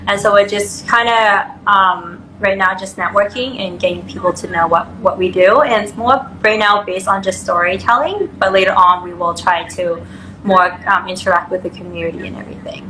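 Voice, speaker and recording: A woman's voice: mid-range voice, fairly even intonation, normal speed. A young woman with an American accent, chatting casually as an interview guest. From grew up in America. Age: young adult. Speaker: pageant contestant being interviewed. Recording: roomy echo, clear background noise.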